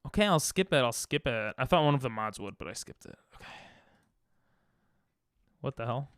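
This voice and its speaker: Lively, joking male game streamer. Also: medium pitch, normal speed, no echo, studio quality. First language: Hebrew. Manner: joking, reads chat aloud, bursts into silly freestyle rhymes. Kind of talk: casual live-stream chat while gaming.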